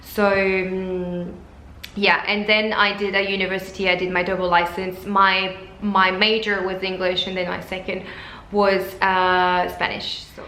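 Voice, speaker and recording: Woman in her twenties from France, French first language, bright voice, relaxed chatty vlog delivery, echoey room. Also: medium pitch, unhurried. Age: 20s. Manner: chatty, lively, with many fillers and restarts. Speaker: flight attendant vlogger. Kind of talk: casual talking-to-camera vlog. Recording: echoey room with faint background noise.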